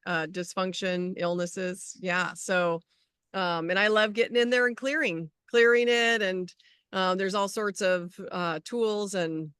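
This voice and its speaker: A middle-aged woman co-hosting a casual spiritual chat as an energy healer, talking in a rambling, halting way as she searches for words. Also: lowish voice, fairly bright tone, clean tone, dry recording, studio quality.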